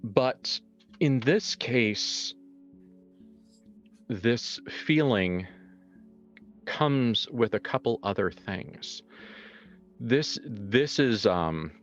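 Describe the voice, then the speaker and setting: A man improvising tabletop horror narration as game master in a conversational, halting way, reworking his phrases as he goes. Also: medium pitch, animated. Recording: muffled lo-fi sound, music behind. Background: background music.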